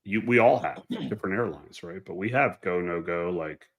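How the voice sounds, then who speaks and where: A man's voice: low-pitched, moderate pace. A man, an airline pilot on a casual panel, talking informally and often breaking off mid-thought to hedge.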